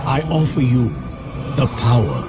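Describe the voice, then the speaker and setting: An actor playing a majestic father of the Greek gods in a video game, grand, deliberate and commanding. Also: mid-range voice, huge pitch swings, very noisy. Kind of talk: video game cutscene acting. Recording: voice under loud music.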